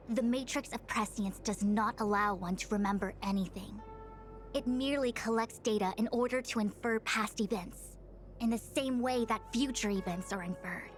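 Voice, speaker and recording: A woman voicing a composed, learned master diviner in a video game, lecturing in formal, measured phrases. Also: medium pitch, a little hiss or hum, natural voice. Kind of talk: scripted video game character dialogue. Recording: music behind the voice. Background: background music.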